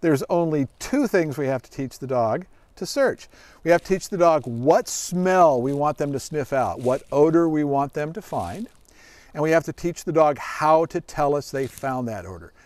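Middle-aged man, slightly high voice, animated intonation, folksy explainer, casual children's demonstration. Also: moderate pace, no room sound. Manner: folksy, explanatory, asks the listener questions. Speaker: search dog handler and guest presenter. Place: outdoors in a grassy forest clearing.

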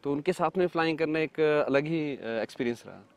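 A man from India, a paragliding pilot, answering interview questions in a relaxed, halting way with many fillers. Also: on the high side.

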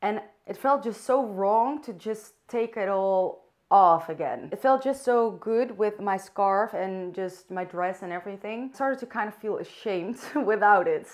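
Young dutch woman vlogging in english, soft and thoughtful, lots of ums while she finds her words. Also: mid-range voice, measured pace. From Netherlands. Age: young adult. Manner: soft, thoughtful, searching for words with fillers and restarts. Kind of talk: unscripted personal vlog. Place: bright room indoors by a window.

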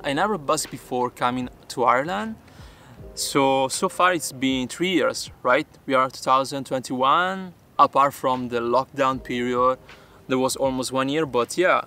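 A man's voice: medium pitch, bright, forceful tone, a little hiss or hum. A young man from Peru, a native Spanish speaker, answering viewer questions in careful, earnest English while sitting outdoors by the water. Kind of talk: casual vlog Q&A.

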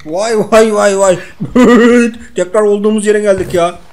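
A man's voice: high-pitched, steady tone, unhurried, noisy background. A man playing a video game and chatting in casual slang with a co-player, exclaiming and repeating short phrases.

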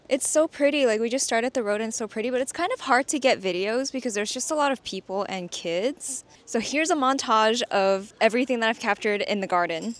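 A young woman in her twenties, chatting casually and upbeat in a travel vlog on a lapel mic. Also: on the high side. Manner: chatty, upbeat, rambling with many "like"s. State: sniffling after a shower. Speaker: travel vlogger. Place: hotel room, indoors.

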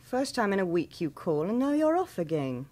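An actress playing a teasing woman, a hitman's hospitable friend, with a husky voice in a radio drama. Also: mid-range voice, sing-song, normal speed, warm tone. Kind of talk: vintage radio drama acting.

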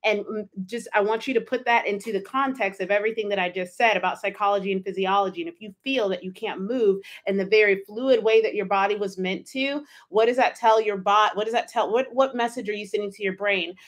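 An animated woman in her thirties hosts her own coaching podcast from a home office. She talks emphatically into a close studio mic and slips into quick put-on inner voices to make her points. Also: mid-range voice. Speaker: life coach and podcast host. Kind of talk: solo podcast monologue. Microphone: studio mic with pop filter, wearing headphones.

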